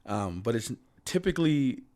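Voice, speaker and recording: A man's voice: medium pitch, big pitch swings, moderate pace, darkish tone. A man with a stutter hosting a podcast, speaking in an earnest, motivational way and calling his guest "bro" and "dude.". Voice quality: stutters, swaps hard words on the fly. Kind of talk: casual conversational interview.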